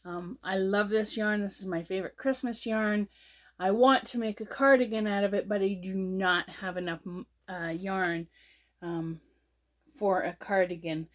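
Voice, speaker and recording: A woman hosting a casual crochet tutorial. She sounds sniffly from a cold and chats slowly, with lots of "um" and "uh" between steps. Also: mid-range voice, steady tone. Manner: slow, chatty, with many fillers and pauses. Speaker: crochet channel host.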